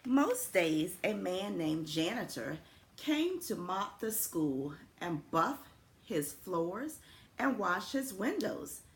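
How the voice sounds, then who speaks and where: A woman reads a children's storybook in a fairly low, slow voice with very varied intonation. She speaks simply and expressively, as a principal would to young pupils, in a roomy, echoing space. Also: very animated. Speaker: elementary school principal reading aloud to students. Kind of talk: children's storybook read-aloud with friendly asides. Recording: roomy echo with faint background noise.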